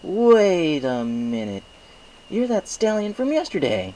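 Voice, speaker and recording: Man putting on a cocky higher-pitched young dragon. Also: huge pitch swings, takes it slowly, warm tone, slight background noise, exaggerated voice. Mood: suspicious, offhand. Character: young male dragon assistant, cocky, wry and teasing.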